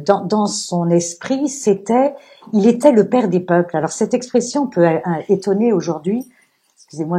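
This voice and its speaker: Female guest lecturer, lowish and very husky voice, earnest explainer, live unscripted delivery. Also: dark tone. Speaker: guest speaker, author. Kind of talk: public lecture discussion, unscripted.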